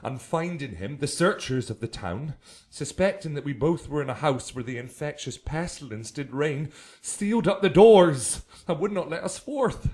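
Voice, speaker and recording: A man as animated messenger friar. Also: a little high, normal speed, hardly any pauses, close to an everyday voice. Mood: earnest. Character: earnest friar messenger bearing bad news. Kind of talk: Shakespearean radio drama acting.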